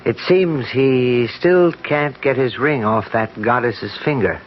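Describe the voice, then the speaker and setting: A muffled old radio broadcast presents a brooding, eccentric man, played by a male actor. He speaks in halting, deliberate phrases with an ominous weight, like a believer in ancient gods, in classic 1970s radio drama style. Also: medium pitch, slight background noise, lightly acted. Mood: dry, subdued.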